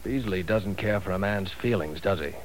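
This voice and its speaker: Rather low-voiced bitter young professor, played by a man, tense edgy delivery, 1940s radio acting, faint hiss. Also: moderate pace, close to an everyday voice. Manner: bitter, tense, rising to outbursts. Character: weary conscience-stricken young professor. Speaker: teacher.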